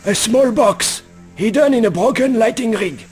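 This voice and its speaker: Surly, very high-pitched old man. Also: moderate pace, soft background music. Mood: conspiratorial. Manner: gruff, dismissive, irritable. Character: surly, grudging old man, suspicious and mercenary. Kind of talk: video game cutscene voice acting.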